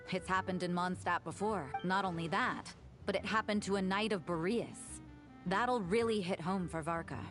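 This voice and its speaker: A woman's voice: mid-range voice, natural voice. Woman playing a composed, wry church woman. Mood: earnest. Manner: measured, wry, explanatory. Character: worldly, composed church woman, wryly knowing and candid. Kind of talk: video game voice acting, storytelling.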